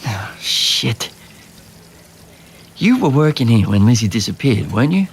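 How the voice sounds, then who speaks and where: An actor playing a weary, informal young restaurant chef in a naturalistic audio drama. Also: male voice, medium pitch, very animated, a little slow, slight background noise. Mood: uneasy, weary.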